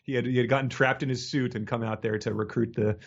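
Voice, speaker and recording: A man's voice: medium pitch, fairly even intonation, quick pace, creaky, lo-fi sound. A man co-hosting a podcast in casual comedic chat, riffing and often laughing as he talks. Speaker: podcast co-host.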